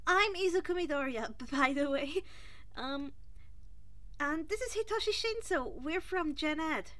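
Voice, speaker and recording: Woman voicing a high, squeaky, nervous teenage boy. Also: expressive, a little slow, fairly bright tone. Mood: awkward, friendly. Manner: stammering, apologetic.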